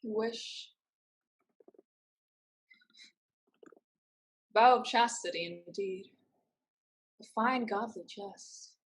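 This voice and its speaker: A woman's voice: on the high side, slow, low-quality recording. A woman acting in a stage play reading as a proud, streetwise young woman who is protective of her sister. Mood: dry, bitter.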